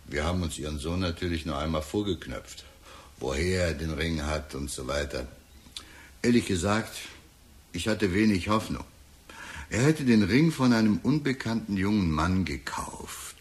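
An actor playing a talkative, sly police detective in a radio drama, deliberate and insinuating, with falsely sympathetic musing. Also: male voice, very deep voice, heavily acted. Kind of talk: German radio drama acting.

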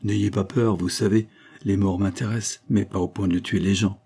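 A man's voice: fairly low pitch, steady tone, normal speed, dark tone, breathy edge. A man voicing a fat, middle-aged chemist in an audiobook, blustering and evasive, with colloquial, forced joviality. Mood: jovial, reassuring. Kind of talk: audiobook character dialogue.

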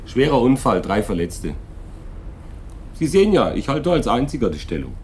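A man playing an unhurried small-town policeman in a German radio drama, colloquial, placating and dismissive. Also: mid-range voice, sing-song, a little mellow, a little hiss or hum, natural voice. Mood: matter-of-fact. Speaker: actor in a radio play.

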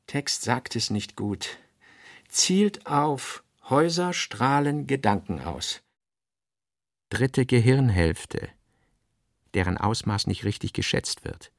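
A man giving a scripted literary narration, reading out notes and drafts in deliberate fragments with pauses. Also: mid-range voice, creaky, no echo, studio quality. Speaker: radio drama narrator.

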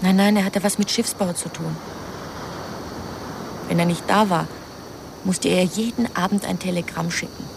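A woman's voice: lowish voice, fairly even intonation, normal speed, vocal fry, pauses often, noisy background. An actress playing a resentful young woman confiding secrets hesitantly in a radio crime drama. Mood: confiding, bitter.

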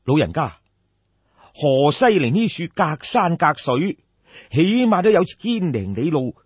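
A man as a husky, courteous, concerned official. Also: fairly high pitch, sing-song, measured pace, dry recording, voice pushed up, heavily acted. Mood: startled, concerned. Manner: earnest questioning, polite address. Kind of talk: Cantonese storytelling audiobook. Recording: dull, muffled lo-fi audio.

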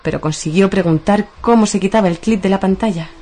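A woman's voice: medium pitch, quick pace, warm tone. A woman with an accent from Spain narrating a scripted radio story. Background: music.

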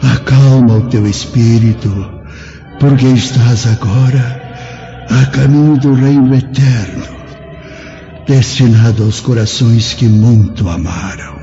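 A man's voice: mid-range voice, fairly even intonation, slow. A man playing a wise, kindly old apostle, fatherly and preacherly, in a solemn radio drama. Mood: soothing, solemn. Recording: muffled old radio drama, music behind.

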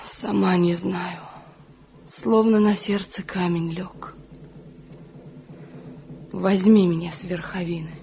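Soft, mellow, anxious village wife. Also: female voice, on the low side, fairly even intonation, slow, vocal fry, long pauses. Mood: pleading, troubled. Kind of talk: Soviet radio drama acting. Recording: dull, faintly noisy 1970 radio recording.

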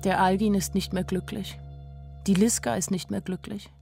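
A woman's voice: mid-range voice, little pitch movement, normal speed, creaky, mellow, faint music behind, natural voice. An actress playing a candid, naive nineteen-year-old woman who tells her own story plainly in a radio drama. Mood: candid, wry. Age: young adult. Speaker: actress narrating as the main character. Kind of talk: radio drama first-person narration.

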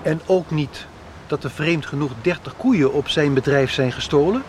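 An actor in a radio soap playing an earnest, wistful middle-aged factory director from a farm family. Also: male voice, on the high side, moderate pace, slight background noise, lightly acted. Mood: probing, grave.

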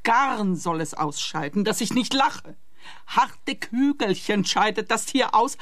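A woman's voice: mid-range voice, expressive, takes it slowly, a bit bright, dry recording, clean studio sound. An actress playing a dismissive, scoffing mother of a grown son in a comic radio play, emphatic and indignant. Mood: scornful disgust.

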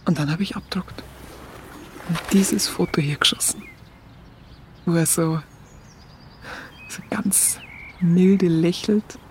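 Curious young photographer, Bavarian accent, smitten, musing, colloquial, radio play. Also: female voice, on the low side, takes it slowly, long pauses, slight background noise. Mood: wistful, musing. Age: young adult. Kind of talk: radio play narration and dialogue.

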